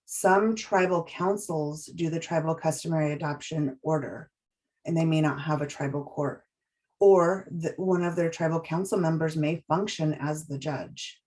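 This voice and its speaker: Low-voiced woman explaining training slides. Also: darkish tone. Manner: measured, explanatory, with frequent um fillers and restarts. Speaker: trainer presenting a webinar.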